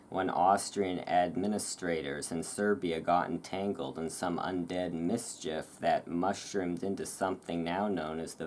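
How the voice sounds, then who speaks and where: A host reading aloud in a relaxed ASMR style, with informal, self-deprecating asides. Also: low-pitched. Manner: informal, self-deprecating over hard words. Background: thunderstorm ambience.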